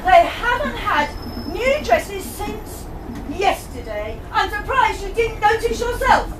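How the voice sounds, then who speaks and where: Woman playing a shrill-high, haughty pantomime stepmother. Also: big pitch swings, slow pace, full character voice. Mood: scolding. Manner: imperious, scolding. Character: haughty, doting stepmother and nagging wife in pantomime. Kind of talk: amateur pantomime stage acting. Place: live amateur show at a tavern venue. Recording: echoey room from far off, noisy crowd.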